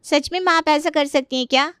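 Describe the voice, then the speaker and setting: A woman's voice: high-pitched, brisk, a bit bright, no room sound, studio quality. A woman voicing an eager, affectionate married daughter in a story. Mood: grateful.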